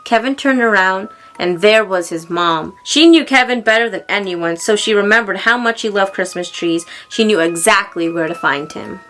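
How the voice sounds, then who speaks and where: Female storybook reader, bright and clear-cut, expressive delivery for young listeners, soft music underneath. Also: mid-range voice, moderate pace, few pauses. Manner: expressive, acting out exclamations and dialogue. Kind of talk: read-aloud children's story narration.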